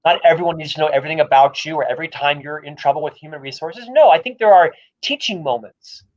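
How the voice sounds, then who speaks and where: A man with a high, light voice and a stutter speaks emphatically and self-deprecatingly. The sound is dull and lo-fi, like a livestream call. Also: fast talker. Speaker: author and guest speaker. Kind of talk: livestream interview talk.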